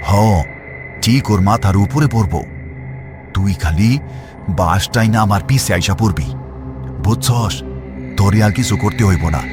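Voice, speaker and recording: A man plays a quick-witted, commanding village guard leader in a horror cartoon. His voice is fairly low and urgent, with a rural Bangladeshi accent. Music sounds clearly behind him. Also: lightly acted. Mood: tense, plotting. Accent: rural Bangladeshi Bengali. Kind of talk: animated horror story acting.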